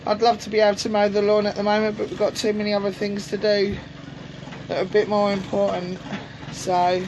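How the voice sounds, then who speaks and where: A woman with a gruff voice from a sore throat, chatting casually and rambling with many ums as she shows round her greenhouse on camera. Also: medium pitch, completely flat delivery, fairly quick. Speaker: allotment vlogger. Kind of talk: casual walk-through chat. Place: greenhouse on an allotment, outdoors. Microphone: camera on a tripod. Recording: outdoor noise, lawnmowers and traffic behind.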